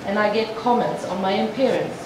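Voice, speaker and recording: Woman interpreter in an echoing hall. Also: medium pitch. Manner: measured, with frequent halting restarts. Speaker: sign language interpreter voicing a speech. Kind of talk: formal address to an assembly, interpreted aloud. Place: large assembly hall. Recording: echoey hall from far off, noisy.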